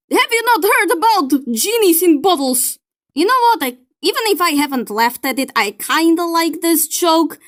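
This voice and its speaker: Slow-paced, high-pitched woman commentator. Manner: playful, teasing, exclamatory. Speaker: video creator and commentator. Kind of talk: casual reaction commentary.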